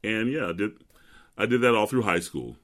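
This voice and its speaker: Middle-aged male bass, very low and resonant, a laid-back storyteller who laughs easily. Interview guest. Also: animated, moderate pace, a bit bright. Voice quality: deep resonant bass speaking voice. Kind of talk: relaxed interview chat.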